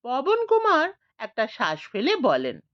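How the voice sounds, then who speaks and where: Woman reading a story out loud real slow, voice swoops way up and down, dull dry sound. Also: high voice, no echo. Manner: expressive storyteller voicing many village characters. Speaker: narrator. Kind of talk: scripted audiobook narration.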